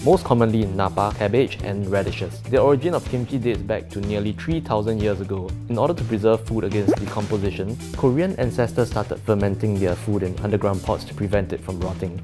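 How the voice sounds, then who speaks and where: A man delivers a scripted, informative voice-over at a brisk clip. His voice is low and steady. Clear guitar music runs under the narration.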